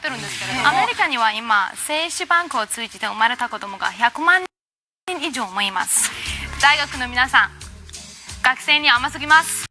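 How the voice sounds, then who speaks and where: A woman speaking on a television panel show with animated talk, heard in a TV clip. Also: high voice, fast talker, over music.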